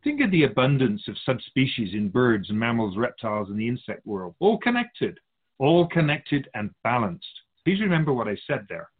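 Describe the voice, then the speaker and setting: Man playing a lively-pitched, husky otherworldly soul keeper. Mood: earnest, instructive. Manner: didactic, measured explaining, speaks of humans as outsider. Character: otherworldly keeper of souls, patient, all-knowing teacher of humans. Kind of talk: scripted radio drama dialogue. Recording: dull phone-like narrow sound.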